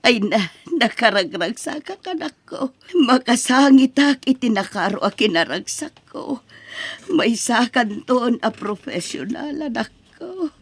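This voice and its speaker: A woman acting a tender, emotional, grateful mother in an Ilocano radio drama, speaking softly with an Ilocano accent. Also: a little high, lively intonation, measured pace, slightly rough, no room sound, heavily acted. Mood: tearful joy. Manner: soft, emotional. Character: tender, tearful, grateful poor mother of graduating daughter.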